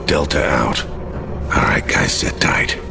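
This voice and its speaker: An actor voicing a gruff, hardened, commanding sergeant with terse, clipped speech in a video game cutscene. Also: male voice, extremely low pitch, lively intonation, slow, heavily acted.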